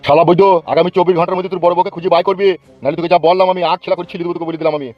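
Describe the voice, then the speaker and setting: An actor voicing a bullying, foul-mouthed cartoon politician in rural West Bengal Bengali, hectoring and boastful. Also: male voice, sits high, flat delivery, very fast, highs cut off, close to an everyday voice. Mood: angry, threatening. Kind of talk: comic cartoon dubbing.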